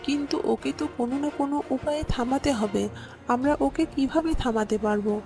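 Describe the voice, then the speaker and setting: An excitable young village fellow, played by a woman, high in pitch with a lot of creak. He speaks urgently, in exclamations, as in a cartoon ghost story. Music sits clearly behind the voice. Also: moderate pace. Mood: worried.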